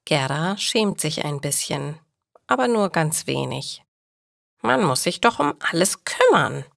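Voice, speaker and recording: A woman reading scripted stories for language learners as a narrator, with careful, measured enunciation. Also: low-pitched, very animated, gravelly, fairly bright tone, dry recording, clean studio sound. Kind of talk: scripted slow story narration.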